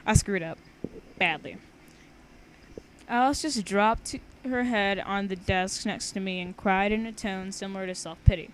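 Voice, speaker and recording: A woman's voice: medium pitch, a little slow. Informal young woman on a noisy homemade recording. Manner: informal, giggly, stumbling over words. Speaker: amateur reader of own fan fiction. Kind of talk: casual read-aloud with banter.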